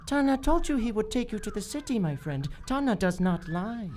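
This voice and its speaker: A woman playing a sly, treacherous male jungle guide in an adventure radio play, with an oily, measured delivery. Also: mid-range voice, sing-song, close to an everyday voice. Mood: oily, assuring. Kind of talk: old-time adventure radio acting. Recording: live stage recording, some background noise.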